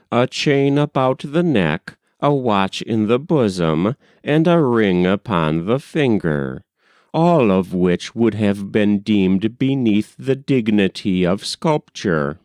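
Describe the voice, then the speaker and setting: A man reading a literary story as an audiobook narrator, in a measured, expressive storytelling delivery. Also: lowish voice, clear, resonant tone, dry recording, studio quality. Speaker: audiobook reader.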